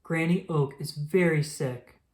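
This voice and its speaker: A woman voicing a caring but firm mother squirrel in a storybook, gentle and encouraging. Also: deep voice, unhurried. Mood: coaxing.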